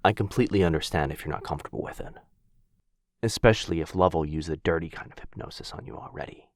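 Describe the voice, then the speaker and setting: A man voicing a gentle, protective vampire in an ASMR roleplay, soft, breathy and husky, soothing in a hushed, halting way. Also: deep voice, lightly acted. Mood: gentle, hesitant. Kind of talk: boyfriend ASMR roleplay audio drama.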